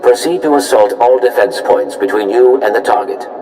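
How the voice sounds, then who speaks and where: A man's voice: mid-range voice, moderate pace, warm tone, lightly acted. A man voicing a courteous protocol droid in a video game, speaking formally and precisely with deference. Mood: formal, helpful. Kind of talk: scripted video game acting. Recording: roomy echo, clear background noise.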